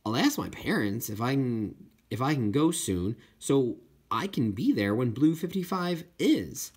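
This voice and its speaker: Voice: medium pitch, big pitch swings, unhurried, darkish tone, husky, exaggerated voice. An audiobook reader voicing an eager, determined schoolgirl who loves whales, in an earnest manner. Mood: eager, hopeful.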